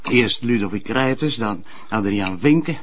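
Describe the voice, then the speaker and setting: An actor playing a courteous, gently teasing police detective sidekick in a TV crime drama, a man with a Flemish accent. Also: fairly low pitch, animated, unhurried, slight background noise. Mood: musing. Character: polite, wry male police detective sidekick. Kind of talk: naturalistic TV crime drama acting.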